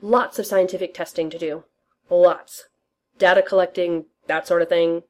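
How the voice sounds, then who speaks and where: A woman's voice: steady tone, fairly quick, natural voice. A woman voicing a cocky, teasing male inventor in a fan fiction reading, murmuring and breezy by turns. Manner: low murmured whisper, then breezy and cheerful.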